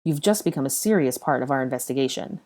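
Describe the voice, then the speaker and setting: A woman playing a firm, steady young wizard. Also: a bass voice, fast. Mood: stern. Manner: level, firm.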